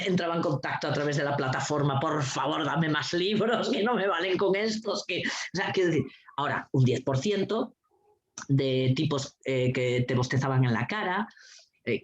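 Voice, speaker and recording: A woman giving a lively, anecdotal talk as a guest speaker, blunt and sarcastic, swearing freely and laughing often. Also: a bass voice. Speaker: guest speaker, teacher trainer. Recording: dull lo-fi recording.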